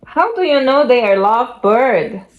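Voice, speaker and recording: A woman's voice: a little high, lively intonation, a little slow. A woman voicing a worried, bewildered elephant from a picture book, exclaiming and questioning. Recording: muffled lo-fi home recording, background noise.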